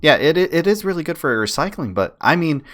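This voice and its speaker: A man's voice: on the high side, very animated, fairly quick, a bit bright. A man arguing casually and emphatically, repeating his points as in an online debate. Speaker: streamer and debater. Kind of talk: casual argumentative debate talk.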